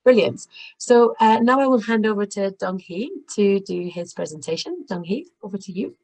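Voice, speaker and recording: A woman moderating an online webinar, polite and brisk as she calls on each participant. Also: mid-range voice, lively intonation, a little mellow, low-quality recording. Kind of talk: hosting introductions. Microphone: video call.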